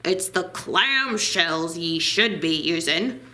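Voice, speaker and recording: Woman putting on a low, gruff old seadog. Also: lively intonation, slow, sharp tone, heavily acted. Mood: gruff, instructing. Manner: muttering, firm, old salty sailor talk. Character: gruff, grumpy old seaside man who wants solitude.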